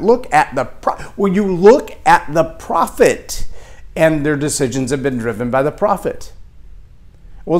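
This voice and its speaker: Animated Texan man giving a leadership talk. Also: a little high. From Texas. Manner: animated, acts out quoted voices. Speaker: leadership speaker. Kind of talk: motivational talk to camera.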